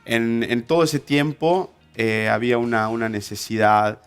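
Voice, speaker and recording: A man's voice: mid-range voice, fairly even intonation, a little slow, clear, resonant tone. A man, telling his life story as an interview guest, hesitant with many fillers and restarts. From Honduras. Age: 20s. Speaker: interview guest, ministry instructor. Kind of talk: personal testimony in an interview.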